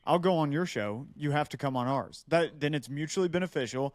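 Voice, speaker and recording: A man's voice: fairly high pitch, fairly quick, no room sound. Frank man talking casually, dry sound. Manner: advising, frank, swears casually. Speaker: podcast panelist. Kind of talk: casual podcast discussion.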